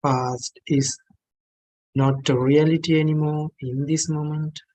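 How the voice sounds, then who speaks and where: Very slow-speaking man guiding meditation. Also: a little high, level tone, poor audio. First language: not English. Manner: gentle, measured phrases with long pauses. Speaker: meditation teacher. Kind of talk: guided meditation instruction.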